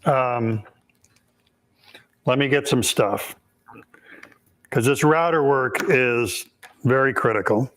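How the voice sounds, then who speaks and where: Hoarse man explaining woodworking steps. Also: medium pitch, slow, pauses often, a bit bright. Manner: practical step-by-step explaining, frequent ums. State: raspy, hoarse voice. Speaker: woodworking instructor and host. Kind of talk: live Q&A with shop demonstration.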